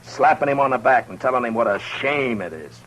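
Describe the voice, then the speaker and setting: An actor playing a stern, hard-bitten Old West marshal, commanding and blunt, in a 1950s radio drama. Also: male voice, mid-range voice, expressive, a little fast, fairly bright tone, close to an everyday voice. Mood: angry, urgent. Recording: noisy old radio broadcast recording.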